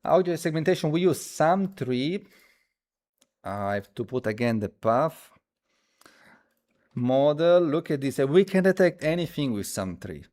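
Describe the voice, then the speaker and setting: A man who speaks as a hosts a casual live coding stream, thinking aloud haltingly and repeating his phrases as he demonstrates. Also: on the high side, very animated, a little mellow. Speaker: livestream host.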